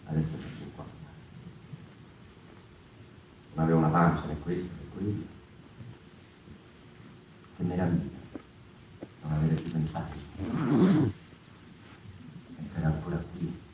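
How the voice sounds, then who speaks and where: Deep, echoey middle-aged male storyteller. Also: expressive, measured pace, pauses often. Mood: nostalgic, fervent. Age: 50s. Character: reflective middle-aged male storyteller addressing his people. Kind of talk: theatrical monologue, live stage performance. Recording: very echoey, distant stage recording, faint noise.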